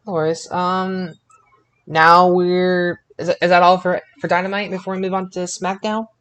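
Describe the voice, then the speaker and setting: A man co-hosting a casual wrestling review podcast in a halting way, often repeating his words. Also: high-pitched, flat delivery, fairly bright tone, smooth. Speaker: podcast co-host.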